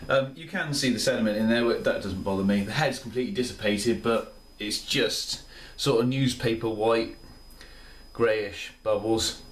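A man's voice: lowish voice, recorded from a distance. Tired, chatty man, roomy kitchen. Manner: chatty, rambling, enthusiastic. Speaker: beer reviewer. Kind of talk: casual solo review. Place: small home kitchen, indoors. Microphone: camera mic at a few steps. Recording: roomy, off-mic home camera sound.

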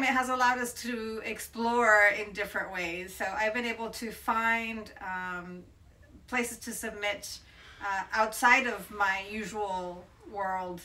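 Bright-voiced woman, slightly distant. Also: mid-range voice. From Colombia. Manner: thoughtful, with many hesitations. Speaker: interviewed photographer. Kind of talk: casual interview answers. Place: bright room by a window, indoors.

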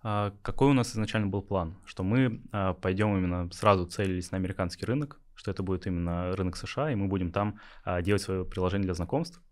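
A man's voice: sits low, fast, few pauses, a little mellow. A young man from Yakutia, a startup founder, answering interview questions hesitantly with many filler sounds. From Yakutia, Russia. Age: young adult.

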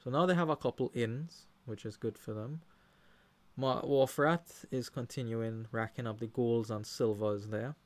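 A man's voice: mid-range voice, moderate pace. A man with a Trinidadian accent giving live commentary on a card game, talking to the players and cheering at big plays. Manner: animated, talks to the players, shouts when excited.

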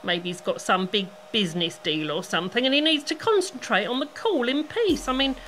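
A woman's voice: fairly high pitch, animated, a little fast, a bit bright, a little hiss or hum. An actress playing a kindly, chatty British hairdresser in an audio play, motherly and full of endearments. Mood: soothing sympathy. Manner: motherly, calls people "love".

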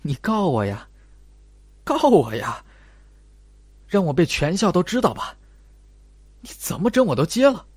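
Audiobook role: mocking, manic young schoolgirl performed by a man, erratic and very animated. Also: fairly high pitch, a little slow, long pauses, slightly rough, dry recording, voice pushed up, heavily acted. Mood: manic, defiant. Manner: sneering, taunting, erratic. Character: spiteful young female student, manic and mocking.

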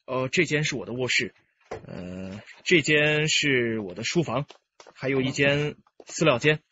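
A man's voice: mid-range voice, sharp tone, highs cut off, a little hiss or hum. An actor playing a composed, candid young male music teacher, speaking politely and carefully in a radio drama. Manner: polite, measured, explanatory.

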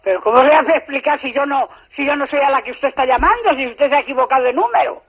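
Muffled telephone sound, woman, accent from Spain, high-pitched, exasperated, protesting, repetitive, spontaneous. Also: lively intonation, quick pace. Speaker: recipient of a prank phone call. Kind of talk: spontaneous phone conversation. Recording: dull lo-fi telephone line.

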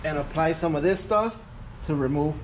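A man's voice: a little high, moderate pace, background noise. Chatty man demoing a tool in a garage. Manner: chatty, talks to viewers while working with his hands. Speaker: vlogger reviewing a tool. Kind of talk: casual how-to narration while working. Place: garage with a car, door open to outdoors.

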